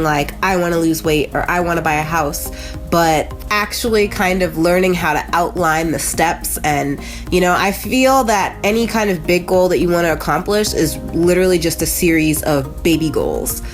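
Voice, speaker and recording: An American woman with a nasal, congested voice, hosting a casual motivational vlog in a chatty, conversational way with frequent asides. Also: lowish voice. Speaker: host of a motivational video channel.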